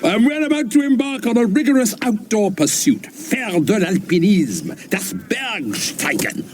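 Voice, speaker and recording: A British actor playing a pompous, theatrical rambling enthusiast in a radio sitcom, florid and exclamatory. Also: male voice, high-pitched, big pitch swings, unhurried, a little hiss or hum. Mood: jolly, boastful. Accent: British English. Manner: florid, self-important, exclamatory. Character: eccentric, pompous, theatrical male poetry-loving rambling enthusiast.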